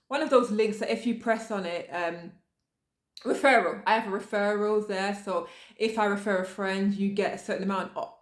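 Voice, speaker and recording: A woman's voice: mid-range voice, roomy sound. A woman from the UK giving casual advice to camera in a chatty manner, with frequent fillers and restarts. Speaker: vlogger.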